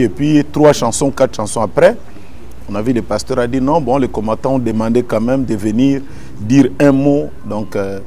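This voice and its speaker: A middle-aged man born in Kinshasa answers interview questions in a measured, steady way, often repeating himself as he retells what happened. His voice sits at an ordinary pitch with a warm, darker tone. There is a faint hiss behind him as he speaks in an indoor room. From born in Kinshasa, Congo. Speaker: gospel singer and pastor. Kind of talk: calm explanatory interview answers. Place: indoor room with potted plants.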